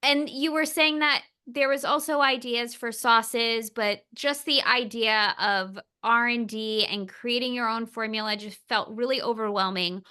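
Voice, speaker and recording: A woman hosts a casual interview with gushing enthusiasm, winding her way through long questions. Her voice sits a little high, bright and ringing with a smooth, crisp clarity. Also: unhurried, few pauses. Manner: enthusiastic, effusive, rambling questions. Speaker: interview host. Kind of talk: conversational interview.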